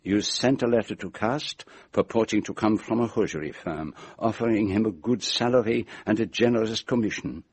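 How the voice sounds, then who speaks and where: An actor playing a shrewd, methodical detective in a radio mystery drama, speaking formally and deliberately as he sets out an accusation. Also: lowish voice, a little mellow, husky. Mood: methodical, exposing. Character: shrewd, methodical male detective laying out his case.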